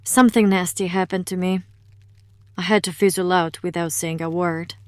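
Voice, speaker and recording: A woman's voice: on the low side, steady tone, normal speed, clear, resonant tone, no room sound, clean studio sound, natural voice. A woman acting in an audio drama as a guarded, wry young woman who knows occult rituals and explains them in an understated way. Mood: subdued, confiding.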